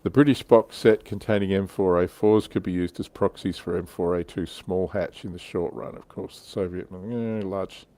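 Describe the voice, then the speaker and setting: A man's voice: sits low, fairly even intonation, moderate pace, hardly any pauses, warm tone, dry recording. A man hosting a livestream with casual chat about tabletop wargaming. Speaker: livestream host.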